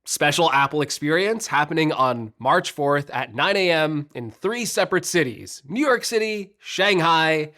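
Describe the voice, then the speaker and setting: A man hosting a casual tech news vlog, chatty and upbeat, his voice a little rough and congested as he recovers from being sick. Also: a little high, lively intonation, unhurried, hardly any pauses, sharp tone. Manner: chatty, upbeat, self-deprecating. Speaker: tech channel host.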